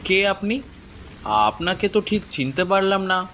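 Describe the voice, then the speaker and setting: A man plays a bossy, kind-hearted village man in a cartoon. His voice is bright, forceful and fairly high, with expressive delivery and faint rain behind it. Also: unhurried, a little hiss or hum, full character voice. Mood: questioning.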